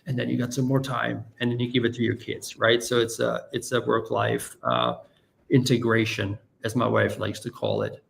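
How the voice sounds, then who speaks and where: Gravelly German-accented man, earnest. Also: mid-range voice, a little hiss or hum. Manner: earnest, rambling, quick asides. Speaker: podcast guest. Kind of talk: casual interview chat.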